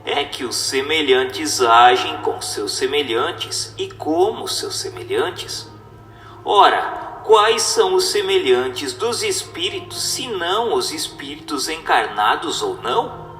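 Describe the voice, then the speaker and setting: Slow-talking guy doing an emphatic preachy dramatic reading, big reverb, music underneath. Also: on the high side, very animated, a bit bright. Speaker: narrator reading a spiritist text. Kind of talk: dramatized scripted reading, oratorical. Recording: echoey hall reverb with music.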